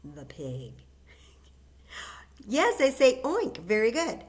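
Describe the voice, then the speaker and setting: Gentle librarian woman at children's story time. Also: fairly high pitch, wildly swooping pitch, takes it slowly. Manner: gentle, encouraging, chatty, singing simple tunes. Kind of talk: story time for kids with sung songs.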